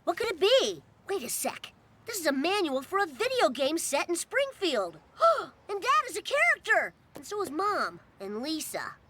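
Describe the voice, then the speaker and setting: A woman voicing a cocky, mischievous ten-year-old boy in a video game cutscene, sarcastic and excitable. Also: very high-pitched, normal speed, slight background noise, full character voice.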